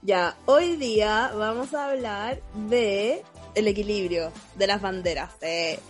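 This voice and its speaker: A woman's voice: medium pitch, slow, faint music behind. A young adult woman with a Chilean accent and a sore throat, co-hosting a casual, slangy podcast chat over a video call. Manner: informal, slangy. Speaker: podcast host. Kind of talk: casual chat among friends.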